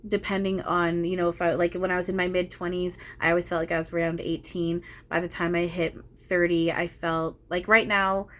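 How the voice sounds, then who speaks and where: A woman's voice: lowish voice, fairly bright tone. A woman in her thirties, a channeler talking casually and meanderingly about spiritual experiences, with many ums and restarts. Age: 30s.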